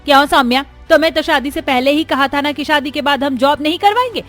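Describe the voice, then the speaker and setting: A woman's voice: high voice, fast talker, a bit bright. A woman voicing a bossy middle-aged mother-in-law who scolds and commands in a cartoon family story. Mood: angry scolding. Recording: music behind the voice.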